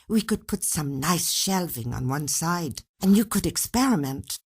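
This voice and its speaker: A woman reader plays a scheming wife from a short story. She sounds sweet and coaxing, with lively rises and falls in a slightly husky voice. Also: fairly low pitch, measured pace, exaggerated voice. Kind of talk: acted dialogue in a read short story.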